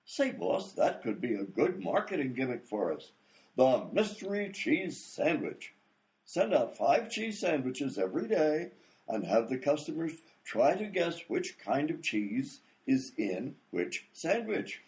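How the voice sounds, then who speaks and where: A man's voice: mid-range voice, big pitch swings, measured pace, darkish tone. A man playing an eager, dim sidekick full of silly schemes in a radio comedy, with a folksy, chipper manner. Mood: eager, scheming.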